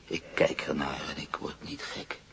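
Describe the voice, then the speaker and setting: An actor playing a troubled male patient in a radio play, recalling frightening memories in halting, brooding fragments. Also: fairly bright tone, whispered, close to an everyday voice. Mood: shaken. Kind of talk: Dutch-language radio play acting.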